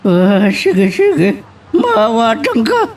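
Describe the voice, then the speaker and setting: A distant, off-mic old man acted by a man, high-pitched and swooping. He is a worried village grandfather in a radio-style drama, plaintive in every line. His delivery is unhurried. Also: wildly swooping pitch, darkish tone, heavily acted. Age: older.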